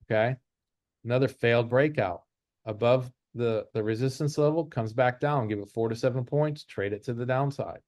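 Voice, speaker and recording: A man's voice: mid-range voice, moderate pace, darkish tone, no echo, clean studio sound. A man giving an informal lesson as an instructor, explaining step by step and repeating his rules. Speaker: trading instructor. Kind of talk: informal chart-based lesson.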